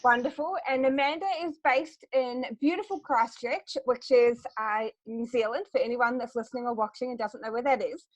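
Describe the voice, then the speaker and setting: A woman's voice: on the high side, moderate pace, few pauses, dry recording, poor audio. A woman hosting an online interview, friendly and effusive, with many fillers. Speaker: host. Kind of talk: interview host.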